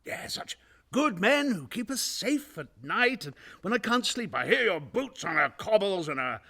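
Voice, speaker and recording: An actor playing a nervous, guilty murderer in a radio play, stammering and repeating himself in an over-eager, ingratiating way. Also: male voice, sits high, sing-song, moderate pace, gravelly, pushed and bright, heavily acted. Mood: nervous friendliness. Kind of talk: theatrical radio play acting.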